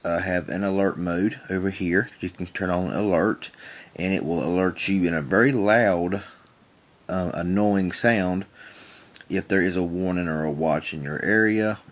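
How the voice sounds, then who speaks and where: A man giving a casual product demonstration in a plain-spoken, folksy, rambling and repetitive way. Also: low voice. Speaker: reviewer demonstrating a small weather radio.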